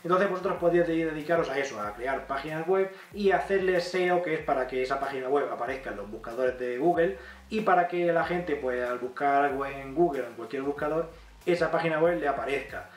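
Fast-talking Spanish man, roomy sound. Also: on the high side, distant mic. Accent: Spanish from Spain. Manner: chatty, emphatic, gestures a lot. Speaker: YouTuber. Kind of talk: direct-to-camera money-making advice. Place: small indoor room.

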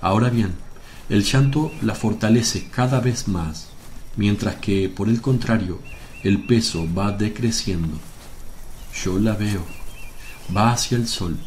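Casting: a gentle, solemn holy teacher for devotional radio drama, low measured voice, noisy ambient track. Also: male voice. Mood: serene, assured.

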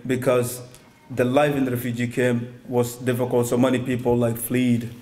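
Soft-spoken, dark-toned young man. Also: mid-range voice. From Somalia. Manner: hesitant, many ums and restarts. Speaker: guest speaker. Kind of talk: personal testimony talk. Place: synagogue hall, indoors. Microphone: stand mic.

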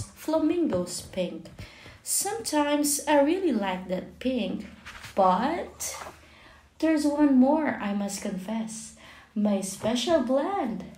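A woman reading a picture book aloud for young children in a measured, expressive way with deliberate pauses. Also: sits high, echoey room, a little hiss or hum, low-quality recording. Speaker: children's book reader, channel host.

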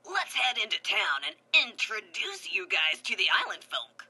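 A smug, scheming man talks loudly about making trouble, as a woman puts him on. The voice is bright and breathy, with a sly drawl to it. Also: mid-range voice, big pitch swings, unhurried, exaggerated voice.

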